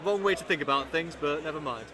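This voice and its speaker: A British man with a noticeably high voice chips in as co-commentator, reading out lists and trading dry jokes. Busy crowd chatter fills the space behind him. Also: fast. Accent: British English. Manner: dry, teasing humour. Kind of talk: live game commentary. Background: crowd chatter of a tournament hall.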